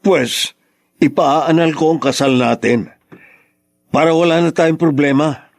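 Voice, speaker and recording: Bitter husband, somewhat high-pitched. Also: male voice, measured pace, gravelly. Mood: cold, resentful. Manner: emphatic, melodramatic. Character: betrayed, bitter young husband in radio drama. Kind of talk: Tagalog radio drama acting.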